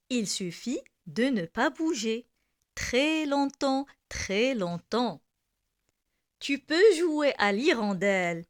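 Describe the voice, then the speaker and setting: Woman w high voice reading a kids poem really really slowly, careful pauses between lines. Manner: deliberate, with repeated lines and pauses. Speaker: poem reciter for primary school learners. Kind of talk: slow poem recitation for children.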